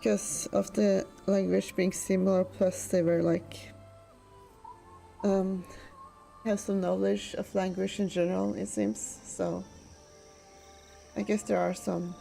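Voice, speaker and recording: A woman with a Norwegian accent chats casually, rambling and filling pauses with ums and likes. Her voice is mellow and strongly gravelly, with faint music. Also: mid-range voice, flat delivery, low-quality recording. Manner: rambling with many fillers. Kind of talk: casual chat.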